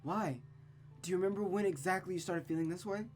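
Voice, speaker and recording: A woman voicing a gentle, worried young female friend with a soft, reassuring manner in a visual novel reading. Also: lowish voice, fast, faint music behind, pitched above the performer's own voice, lightly acted. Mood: concerned.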